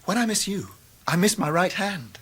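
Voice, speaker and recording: A man's voice: high-pitched, lively intonation, moderate pace, fairly bright tone, husky, full character voice. An actor playing an earnest, affectionate, well-mannered young man in a period radio drama, tender and a little hesitant. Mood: eager, affectionate.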